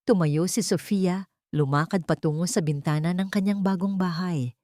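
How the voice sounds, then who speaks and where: Female narrator for scripted drama, lower register, very little room sound. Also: normal speed, mellow, no echo, studio quality.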